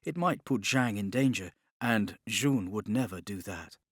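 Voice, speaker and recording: A man's voice: fairly low pitch, measured pace, breathy edge, no room sound, clean studio sound. A man reading scripted dramatic narration as an audiobook narrator.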